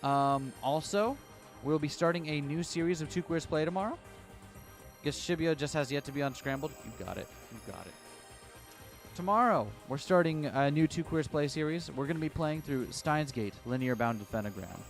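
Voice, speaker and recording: A man streaming a game from a hot room at home, reading the story aloud and chatting loosely, his voice turning a little raspy. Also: a little high, animated, moderate pace, faint music behind.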